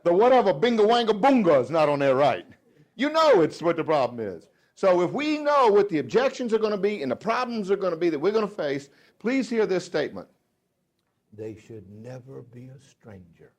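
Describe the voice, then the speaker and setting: A man in his fifties with a Southern accent, giving a folksy, joking live speech to a room of salespeople, swinging between loud and hushed. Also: high-pitched, very animated, normal speed. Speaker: motivational sales speaker. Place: dealership meeting room.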